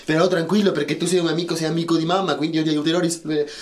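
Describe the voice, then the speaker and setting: A man playing a childlike, simple-minded friend who speaks solemnly and haltingly in a radio drama, repeating grand, ominous promises. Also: a little high, level tone, natural voice. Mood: solemn, reassuring.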